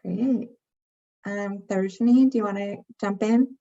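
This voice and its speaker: A woman moderates a student panel in short, brisk prompts. Her voice is mid-pitched. The audio is slightly dull and muffled. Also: creaky, warm tone. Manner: brief, brisk.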